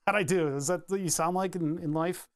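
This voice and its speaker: A man's voice: high voice, steady tone, normal speed, dry recording, clean studio sound. A man chatting casually on a live stream as he builds electronics, rambling, answering chat and laughing often.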